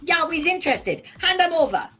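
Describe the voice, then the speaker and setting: Mouthy teen delinquent. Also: female voice, very high voice, very animated, brisk, pushed and bright, faint music behind, full character voice. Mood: brash. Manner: brash, slangy. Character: cocky, mouthy teenage street delinquent.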